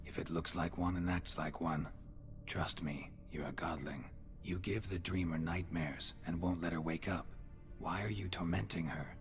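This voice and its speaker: An actor playing a stoic, world-weary monster hunter in a video game, terse and dryly wry. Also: male voice, deep voice, normal speed, music in the background, lightly acted. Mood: measured, persuasive. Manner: deadpan, terse.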